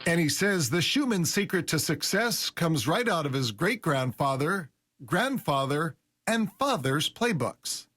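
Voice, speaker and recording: Guy doing a tv business report, smooth scripted news read, slightly higher voice. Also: measured pace. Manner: polished, even news-reading delivery.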